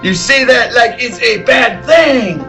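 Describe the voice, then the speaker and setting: A man's voice: extremely high pitch, lively intonation, slow, sharp tone, soft background music, pitched above the performer's own voice, heavily acted. A man voicing a laid-back, druggy oddball stand-up comic in an impression at a live table read.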